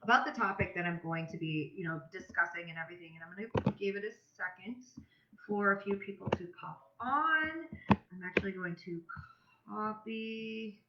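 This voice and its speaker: A woman's voice: lowish voice, unhurried, heavy background noise. A woman talking casually and emphatically to followers on a live stream, with informal slang, as a coach for a health and fitness business. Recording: dull, roomy live-stream audio.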